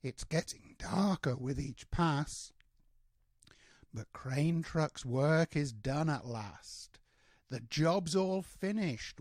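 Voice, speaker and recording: An older man, a grandfather, reading a rhyming children's storybook aloud in a measured, expressive way with drawn-out words. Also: fairly high pitch, dry recording, clean studio sound.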